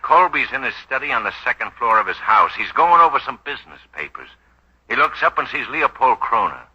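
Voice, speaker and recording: An actor playing a suspicious, sarcastic police detective who badgers bluntly, in a 1940s radio drama. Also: male voice, medium pitch, very animated, fairly quick, husky. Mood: needling. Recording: dull, lo-fi 1940s radio recording.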